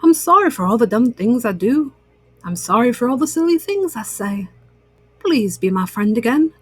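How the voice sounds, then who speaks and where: A woman's voice: a little high, big pitch swings, moderate pace, breathy edge, dry recording, faint music behind. A woman voicing an earnest, anxious male toad in a children's story, with a plaintive way of talking. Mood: apologetic.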